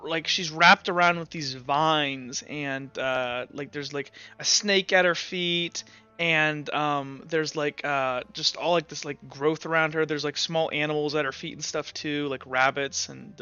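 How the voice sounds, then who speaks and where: A man running a tabletop game, his voice bright and crisp as he rambles through scene descriptions. The audio is dull, lo-fi and dry, with faint music underneath. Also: on the high side, no room sound. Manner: loose, rambling descriptions full of "like". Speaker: game master.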